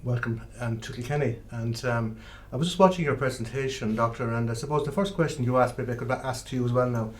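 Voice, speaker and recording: Fast-talking male interviewer. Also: mid-range voice. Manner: friendly, hesitant with frequent fillers. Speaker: host. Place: small studio.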